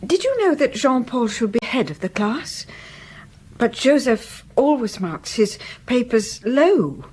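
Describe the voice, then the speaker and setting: A woman's voice: fairly high pitch, sing-song, slow pace, darkish tone, breathy edge, exaggerated voice. A woman playing an anxious, protective wife and mother in a radio drama, speaking formally and hesitantly. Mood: anxious, loyal.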